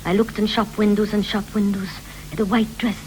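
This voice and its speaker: A wife, acted by a woman, recalls her marriage with dreamy, possessive pride in a poetic 1960s radio drama. Her voice sits at a middle pitch and moves in measured, rhythmic phrases, with faint hum on the old recording. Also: flat delivery, darkish tone, natural voice, low-quality recording. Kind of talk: 1960s BBC radio play, poetic stylised dialogue.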